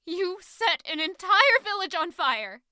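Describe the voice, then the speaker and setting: A woman's voice: very high-pitched, very animated, a little slow, bright, forceful tone, no echo, studio quality, full character voice. A woman acting a hurt, stubborn young woman in an audio drama, with an intense, emotional delivery. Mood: angry, accusing.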